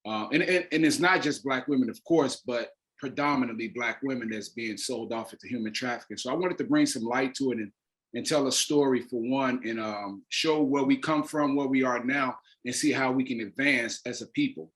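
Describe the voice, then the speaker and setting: Male interview guest, mid-pitched, earnest and deliberate, stresses key words. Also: fairly bright tone. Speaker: interview guest, playwright and actor. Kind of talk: earnest explanatory interview talk.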